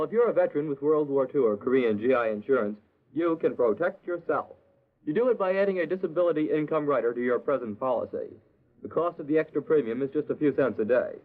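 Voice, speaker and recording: A man reading a scripted 1950s radio public service announcement in an explanatory manner. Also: medium pitch. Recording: thin, phone-like vintage radio recording.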